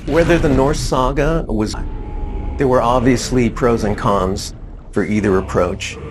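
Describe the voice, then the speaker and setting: A man's voice: mid-range voice, unhurried, noisy background. A man speaking as a game developer in a promotional interview, with music behind his voice.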